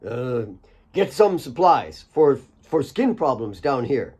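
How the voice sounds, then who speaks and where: A man's voice: mid-range voice, big pitch swings, takes it slowly, a little mellow, husky, exaggerated voice. A gangly, nervous mine warden in an audiobook, acted by a male reader. He is a shifty man who stammers and hesitates, always evasive. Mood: nervous evasion. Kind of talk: audiobook character dialogue.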